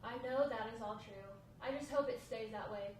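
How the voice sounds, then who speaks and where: A woman's voice: on the high side, moderate pace, lightly acted. A woman reading the part of a worried, doubting wife in a drama script table read. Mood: uneasy, warning. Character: Lauren, worried, doubting wife of a gang recruit. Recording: very echoey room, distant mic, faint noise.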